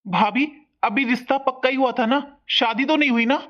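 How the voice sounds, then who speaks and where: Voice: high-pitched, normal speed, lightly acted. An actor playing a defiant young newlywed son in a cartoon family melodrama, earnest, firm and insistent. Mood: defensive. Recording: dull lo-fi sound.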